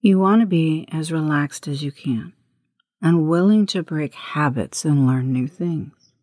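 A woman leading a guided meditation as host, with a hushed, breathy voice and gentle, unhurried instructions. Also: deep voice, dry recording, clean studio sound. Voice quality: breathy, husky, often near whisper.

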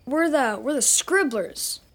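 Cheeky kid, high-pitched, animated, casual wisecracker, children's audio drama. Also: female voice, slow, heavily acted. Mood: impressed, flustered. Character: cheeky young kid adventurer, joking.

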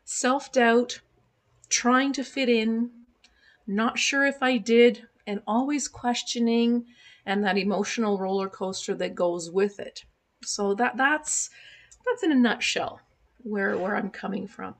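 A woman's voice: fairly high pitch, takes it slowly. A woman in her sixties whose first language is German, raised in Canada, speaking candidly as a podcast guest, with frequent fillers. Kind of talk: casual interview conversation. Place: remote podcast call.

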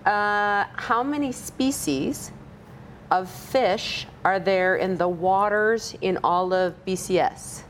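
A woman hosts a relaxed interview at a slow, deliberate pace, reading out quiz questions and switching between English and Spanish. Faint background noise sits under her voice. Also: mid-range voice. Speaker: podcast host. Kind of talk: casual bilingual interview.

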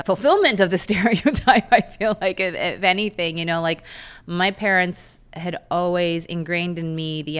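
A woman's voice: fairly low pitch, fairly bright tone, smooth. A woman in her thirties whose first language is Korean, giving candid, chatty interview answers with frequent fillers. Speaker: guest, lawyer and runner.

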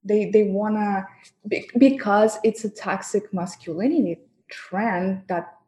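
A woman with a Russian accent speaks bluntly about her line of work, laughing easily between points. Her voice is mellow and warm, sitting at an average pitch. Russian is her first language, and she speaks English with its color. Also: unhurried. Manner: frank, laughs often, mock-degrading asides. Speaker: guest, professional dominatrix. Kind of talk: candid interview chat.

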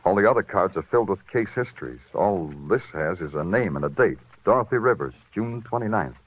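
An actor playing a world-weary police lieutenant with an American accent, in wry, hardboiled 1940s radio noir style. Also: male voice, deep voice, animated, brisk, husky. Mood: businesslike. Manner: wry, dramatic, hardboiled delivery. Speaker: radio detective lead actor. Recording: dull vintage radio recording with prominent music.

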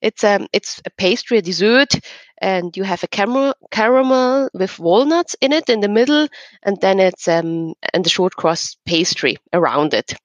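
A woman's voice: mid-range voice, animated, normal speed, dry recording. Woman explaining, close dry sound. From eastern Switzerland. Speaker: podcast guest, regional tourism representative. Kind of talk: conversational interview.

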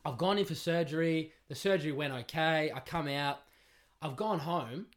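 Animated Australian man telling a story. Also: fairly high pitch, normal speed. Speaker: podcast guest, footballer. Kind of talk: casual storytelling chat. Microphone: studio mic.

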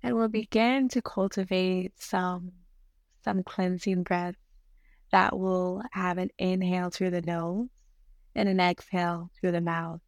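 A woman leads a guided meditation at a very slow, unhurried pace. Her voice sits a little low with a gravelly creak at the edges. She gives gentle, soothing instructions and leaves long pauses between phrases. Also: fairly even intonation, no room sound.

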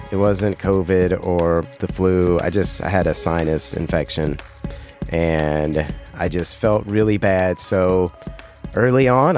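A middle-aged man hosting a solo podcast, sounding hoarse and congested as he talks in a rambling, casual way. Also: low voice, a little mellow. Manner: rambling, conversational, self-correcting. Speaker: podcast host.

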